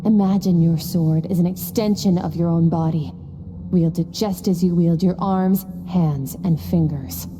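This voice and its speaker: A woman playing a stern, aloof master swordswoman in a video game, lecturing in a cool, measured, terse manner. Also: lowish voice, in a large hall, noisy background, natural voice. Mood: calm, exacting. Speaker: sword instructor. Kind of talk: scripted video game voice acting.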